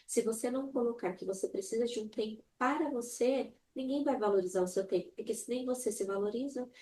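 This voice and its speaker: A woman's voice: a little high, mellow, rough recording. A woman speaking as a mentor and podcast guest, exhorting the listener directly with emphatic repetition. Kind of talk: motivational conversational talk.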